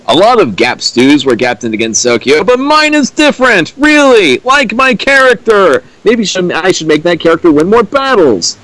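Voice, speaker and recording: A man's voice: high voice, sing-song, moderate pace, hardly any pauses, a bit bright, no room sound, low-quality recording. A man making dry joking asides while a friend reads a fanfic aloud on a stream. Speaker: co-host riffing along. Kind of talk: casual stream banter.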